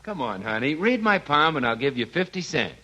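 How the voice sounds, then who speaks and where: An actor playing a brash, flirtatious friend with a teasing, jovial manner in a 1940s radio comedy. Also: male voice, on the high side, very animated, brisk. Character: brash, flirtatious male family friend dropping by. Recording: noisy 1940s radio recording.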